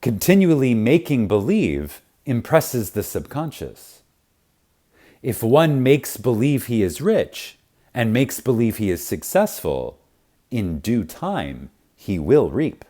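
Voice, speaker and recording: A man narrating a spiritual audiobook in a reverent, emphatic manner, with deliberate pauses. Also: medium pitch, sing-song, dark tone. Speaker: audiobook reader. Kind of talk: scripted narration of a spiritual self-help audiobook.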